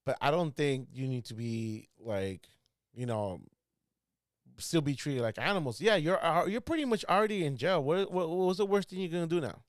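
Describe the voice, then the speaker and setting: A man's voice: fairly high pitch, lively intonation, normal speed, no room sound, studio quality. A man in his thirties co-hosting a podcast in loose, rambling casual chat, full of restarts and fillers. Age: 30s. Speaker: podcast co-host.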